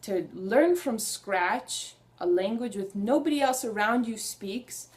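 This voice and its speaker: A woman's voice: medium pitch, expressive, slow. Young woman, vlogger, talking straight to camera. Earnest and eager, loose unscripted delivery that wanders and restarts, mid-range voice. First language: Hungarian. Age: young adult. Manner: earnest, enthusiastic, rambling with restarts. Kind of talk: casual personal vlog.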